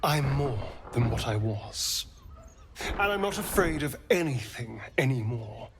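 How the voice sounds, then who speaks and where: An actor playing a proud, vengeful vampire rogue in a video game, with an arch, sneering, dramatic delivery. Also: male voice, mid-range voice, very animated, unhurried, noisy background. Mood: defiant.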